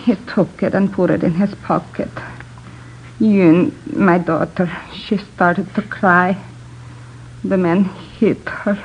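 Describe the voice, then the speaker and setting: A muffled old radio drama recording with faint noise. a middle-aged Swedish immigrant mother with a lowish voice and a Swedish accent. She speaks politely and haltingly, weary and shaken. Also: steady tone. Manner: halting, simple non-native phrasing. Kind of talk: 1950s radio drama acting.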